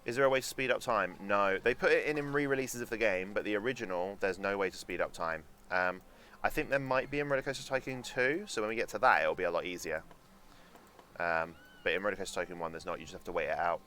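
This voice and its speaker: A young man with a low voice talks casually over a theme park game on a live stream. His tone is bright and forceful. He rambles to his chat, makes sarcastic asides and swears, with a faint hum of background noise. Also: fast talker. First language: German.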